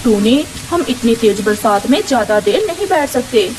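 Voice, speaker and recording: A woman's voice: fairly high pitch, animated, normal speed, background noise, lightly acted. A woman voicing a caring, cautious husband bird in a cartoon, speaking in a worried, reasoning manner.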